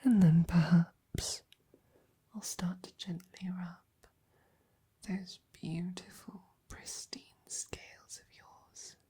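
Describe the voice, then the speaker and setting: A woman voicing a devoted, gentle female knight in an intimate ASMR roleplay, soft, breathy and tender, with hesitant pauses. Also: fairly low pitch, steady tone, heavily acted. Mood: soothing, intimate.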